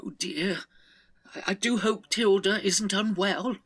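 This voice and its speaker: An actor playing a sweet, muddled elderly man in an audio comedy, with a hesitant, husky, high voice. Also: slow pace, fairly bright tone, exaggerated voice. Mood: bewildered. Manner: confused, hesitant, stammering. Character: dotty, timid, sweet elderly man in a care home. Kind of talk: audio comedy drama acting.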